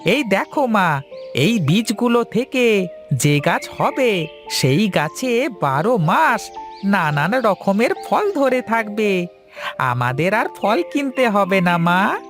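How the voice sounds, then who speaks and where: A woman's voice: medium pitch, very animated, takes it slowly, music in the background. A woman voicing a humble, devoted young village son in a fairy-tale cartoon, earnest and respectful. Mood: reassuring.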